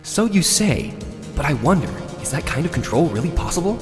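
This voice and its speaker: An actor playing an earnest, dogged and excitable young defense lawyer in a video game, with a probing way of talking. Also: male voice, fairly high pitch, fairly quick, over music. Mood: pressing, earnest. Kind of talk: dramatic video game character acting with inner monologue.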